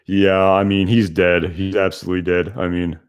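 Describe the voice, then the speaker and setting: A young man with a loud, slightly low voice chats casually on a live stream. He rambles, restarts his sentences and leans on fillers as he answers comments from viewers. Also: level tone, moderate pace, clean tone. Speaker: YouTube reviewer hosting a live stream.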